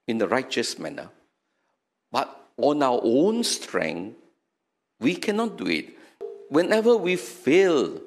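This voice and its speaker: An older man with a Singaporean accent, an archbishop giving an earnest sermon into a stand mic in a small chapel. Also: fairly high pitch. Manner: earnest, emphatic, preaching with hand gestures. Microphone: stand mic in front.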